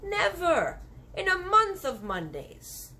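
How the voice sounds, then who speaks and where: A woman voicing a big, friendly old male giant who talks slowly in mangled grammar and made-up words, reading a storybook. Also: very high voice, huge pitch swings, pushed and bright, slight background noise, voice pushed up, exaggerated voice. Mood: doubting.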